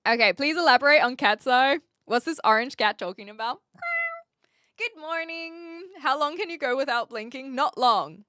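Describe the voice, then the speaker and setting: A woman livestreamer with a bright, forward voice in a very dry, close sound. Her accent is a neutral blend of Australian and British, with carefully shaped words. She chats casually and animatedly, often joking with her viewers. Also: sits high, normal speed, no echo. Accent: neutral Australian-British mix. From New Zealand, later Australia and the UK. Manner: animated, chatty, jokey, carefully articulated. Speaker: livestream host.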